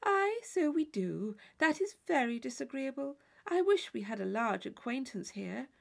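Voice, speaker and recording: A woman voicing a placid, fussy married gentlewoman in an audiobook, chattering in genteel exclamations. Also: high-pitched, big pitch swings, normal speed, vocal fry, voice pushed up, full character voice. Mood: placidly agreeing.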